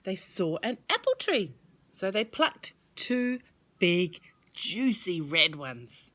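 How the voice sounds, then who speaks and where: A woman reading a children's storybook aloud as storyteller, with expressive, measured delivery. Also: on the low side.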